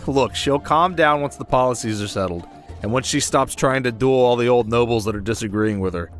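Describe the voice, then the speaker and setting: A man playing an earnest, playful young warrior in an audio drama, joking and quick to get defensive. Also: medium pitch, soft background music, natural voice. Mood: defensive, bashful. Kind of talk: comic audio drama acting.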